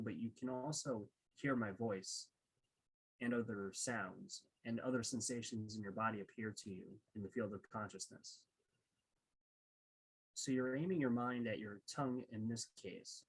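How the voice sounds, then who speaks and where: A man leading a guided meditation, speaking in unhurried short phrases with pauses between them. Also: mid-range voice, slightly rough, poor audio.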